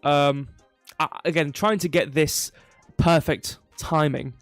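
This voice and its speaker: A man's voice: on the high side, steady tone, slow pace, dry recording. A male livestream host speaks casually into a close, dry microphone with faint music behind him. His voice is a little stuffy and nasal. He rambles through market commentary, drifting into self-deprecating asides. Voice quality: slightly nasal, stuffy nose.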